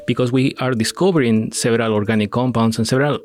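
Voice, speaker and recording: A man's voice: medium pitch, fairly even intonation, fast talker, darkish tone. A middle-aged man from Peru, talking as a scientist guest on a podcast, with many fillers and restarts. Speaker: astrochemist guest. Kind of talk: podcast interview.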